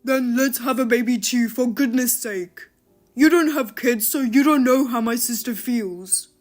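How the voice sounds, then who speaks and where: A woman's voice: on the high side, measured pace, faint music behind, natural voice. A woman voicing an unemployed husband who is lazy and defensive, answering in an irritable, dismissive way.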